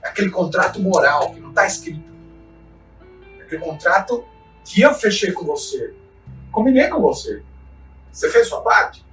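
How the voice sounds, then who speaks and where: A man's voice: high-pitched, sing-song, normal speed, long pauses, fairly bright tone. A man from Chile, a mountaineer giving an earnest, reflective motivational talk and often tagging his sentences with short questions. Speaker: mountaineer and expedition guide. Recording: roomy sound from a distance with faint music.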